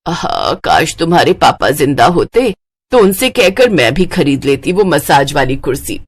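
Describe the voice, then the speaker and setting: A woman acts out a middle-aged cartoon stepmother with a lowered voice. She is scheming and self-pitying, grumbling and wheedling her way through family life. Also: big pitch swings, normal speed, slightly rough, exaggerated voice. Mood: whining, wheedling. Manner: wheedling, complaining. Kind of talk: Hindi cartoon moral story acting.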